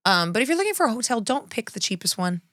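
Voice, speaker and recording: A woman's voice: on the high side, sing-song, fast talker, a bit bright, no echo, clean studio sound. A woman co-hosting a podcast, chatting with enthusiasm about food and travel and often trailing off to restart her sentences. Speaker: podcast co-host. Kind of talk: casual chat.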